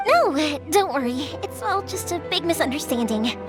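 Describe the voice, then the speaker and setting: A woman's voice: high voice, lively intonation, normal speed, over music. An actress playing a kind, spirited young woman in a video game, protective and upbeat. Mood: friendly, caring. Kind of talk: English video game voice acting.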